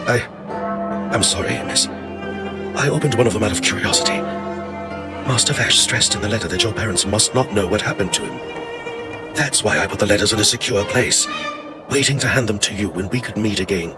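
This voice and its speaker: An actor playing a courteous, loyal older family retainer in a video game, speaking formally and deferentially. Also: male voice, fairly low pitch, animated, moderate pace, pauses often, close to an everyday voice. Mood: apologetic, hesitant. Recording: music behind the voice. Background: music.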